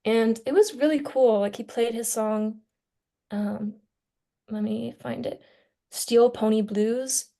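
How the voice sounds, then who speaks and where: A woman's voice: a little high, fairly even intonation, slow pace, vocal fry, mellow. A young woman in a casual group discussion, speaking informally and with enthusiasm as a student on a podcast. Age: young adult.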